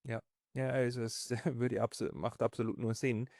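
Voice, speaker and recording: A man's voice: medium pitch, level tone, a little slow, a little mellow. A man hosting an interview in a spontaneous, conversational style. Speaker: interviewer, host.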